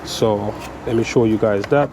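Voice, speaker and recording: A man's voice: on the low side, moderate pace. A man in his thirties with a stuffy cold, casually explaining electrical prep work step by step, recorded outdoors on a sunny dock with a chest-mounted camera. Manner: relaxed, repeats "so" and "guys" often, explains step by step. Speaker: electrician vlogger. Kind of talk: casual how-to vlog. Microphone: chest-mounted action camera. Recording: outdoor noise with traffic and air conditioning.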